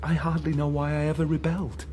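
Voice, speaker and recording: An actor playing a broken, submissive political prisoner in a radio drama, hesitant, meek and earnest. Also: male voice, a little high, completely flat delivery, fast, darkish tone, a little hiss or hum, natural voice. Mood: resigned, dutiful. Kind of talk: naturalistic radio drama acting.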